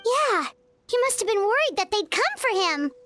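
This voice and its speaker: A woman voicing a small, childlike, bubbly fairy companion in a video game, squeaky and expressive. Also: moderate pace, soft background music, heavily acted. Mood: concerned.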